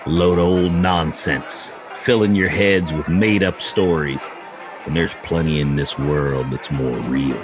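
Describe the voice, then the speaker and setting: A man's voice: very deep voice, music in the background, a crowd in the background. A man voicing a cantankerous old Wild West storyteller with a wheezy, folksy delivery, in an audiobook reading. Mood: grumbling. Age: older. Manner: folksy, gruff, drawn-out yarn-spinning.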